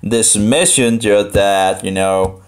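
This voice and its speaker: Low-voiced Danish man musing over scripture. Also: smooth. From Denmark. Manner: halting, rambling, musing, repeats phrases. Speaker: live streamer studying the Bible. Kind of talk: informal, unscripted Bible commentary, thinking aloud.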